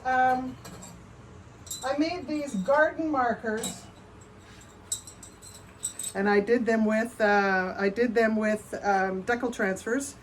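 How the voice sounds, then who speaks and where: A woman's voice: high-pitched, rough recording. A woman with a Canadian accent doing a casual live show-and-tell as a potter, chatty and rambling, often breaking off mid-sentence. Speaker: potter hosting a livestream. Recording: noticeable background noise.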